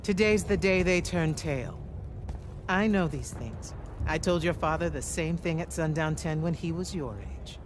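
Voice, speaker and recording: An actor playing a seasoned, confident older army general in a video game, giving terse, authoritative orders. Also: male voice, on the high side, unhurried, background noise, close to an everyday voice. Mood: steady, reassuring. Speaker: military commander. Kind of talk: video game cutscene acting.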